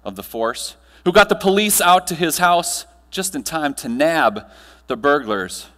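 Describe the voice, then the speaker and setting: A man giving a motivational keynote to a live audience, telling stories with dramatic pauses and emphatic delivery. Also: medium pitch, a bit bright. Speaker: keynote speaker. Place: large room, live audience, amplified. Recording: echoey hall, long reverb.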